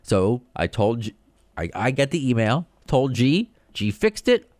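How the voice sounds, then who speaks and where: A man from the United States hosting a solo podcast, talking in an excited, rambling way with many restarts. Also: mid-range voice, a little slow, dry recording, studio quality. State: short on sleep. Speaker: podcast host.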